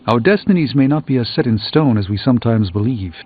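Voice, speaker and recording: Grave sorcerer, tinny game audio. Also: male voice, medium pitch, expressive, husky. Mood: solemn. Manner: formal, measured, philosophical. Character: grave, learned, reassuring adult male sorcerer. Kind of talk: scripted video game character dialogue. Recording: tinny band-limited audio with music.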